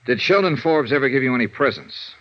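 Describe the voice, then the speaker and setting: An actor playing a calm, businesslike insurance detective in 1950s radio noir, asking dry, clipped, understated questions. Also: male voice, mid-range voice, quick pace, lightly acted. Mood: probing. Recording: dull vintage radio recording.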